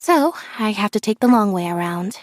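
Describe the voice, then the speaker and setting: A woman voicing a reserved, observant young magician's assistant in a video game, speaking in a calm, measured deadpan. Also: mid-range voice, dry recording, close to an everyday voice. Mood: calm, detached. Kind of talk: scripted character voice lines.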